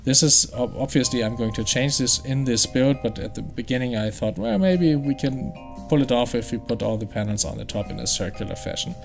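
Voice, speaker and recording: Brief: gaming host, German accent, chatty, thinking aloud, average pitch. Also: male voice, brisk, gravelly, soft background music. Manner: explanatory, chatty, thinks aloud with fillers and restarts. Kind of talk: game playthrough commentary.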